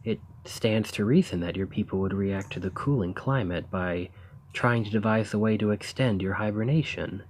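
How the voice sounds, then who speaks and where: A man playing an earnest, eager researcher of ancient civilizations in an ASMR roleplay, speaking in formal, gently sympathetic phrasing. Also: lowish voice, normal speed, a little hiss or hum, lightly acted. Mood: curious, fascinated. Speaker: audio roleplay performer. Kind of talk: ASMR roleplay, scripted character acting.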